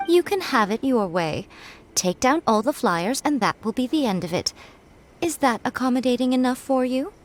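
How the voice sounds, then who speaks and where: A woman's voice: on the high side, animated, moderate pace, faint music behind, natural voice. A woman playing a sly, teasing, elegant fox-like shrine priestess in a video game, with coy, airy delivery. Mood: teasing, mock-hurt. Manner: coy, airy, theatrically mock-hurt. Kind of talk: English video game voice acting.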